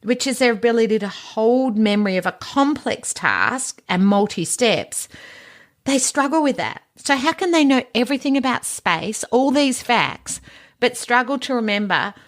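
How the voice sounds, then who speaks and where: A woman's voice: fairly high pitch, expressive, normal speed. A woman hosting an education podcast, talking informally and emphatically in a teaching monologue with rhetorical questions. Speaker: podcast host and education presenter.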